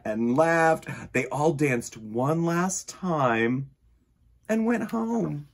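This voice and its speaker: Male, children's storytime host, emphatic, highly animated pitch, husky tone, read-aloud style. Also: very slow. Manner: expressive, emphatic.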